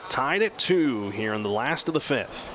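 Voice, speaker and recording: A man doing live radio baseball play-by-play in measured, descriptive, clipped phrases. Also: mid-range voice. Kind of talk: live radio sports commentary. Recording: clear steady outdoor ballpark noise.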